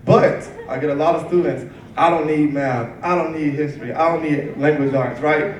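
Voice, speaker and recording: A man's voice: on the high side, fairly even intonation, normal speed. A man moderating a career panel for students, upbeat and engaging the audience. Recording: echoey hall, far mic, crowd behind.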